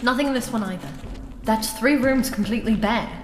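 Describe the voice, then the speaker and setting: A woman's voice: fairly high pitch, brisk, a little hiss or hum, lightly acted. A woman playing a wary, earnest young adventurer in a fantasy audio drama. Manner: earnest, urgent. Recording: echoey room with music behind.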